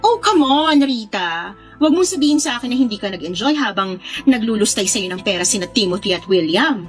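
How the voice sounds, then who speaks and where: A woman's voice: mid-range voice, lively intonation, a little fast, faint music behind, lightly acted. A woman playing a brazen, persuasive young friend in a Filipino radio drama, with a slangy, cajoling delivery. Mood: persuasive, teasing.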